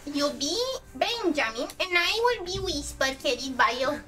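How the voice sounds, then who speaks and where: A woman with a squeaky voice, playfully coaching another player in character. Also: lively intonation, moderate pace, far from the mic. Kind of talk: playful in-character tabletop roleplay. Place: studio set with desk, indoors.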